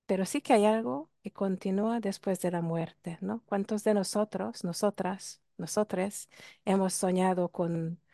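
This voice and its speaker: A woman's voice: mid-range voice, measured pace, gravelly, dark tone, dry recording, studio quality. A woman with a Hungarian accent, explaining ideas in a reflective way and posing rhetorical questions to listeners. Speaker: workshop speaker. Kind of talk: talk on ancestral healing.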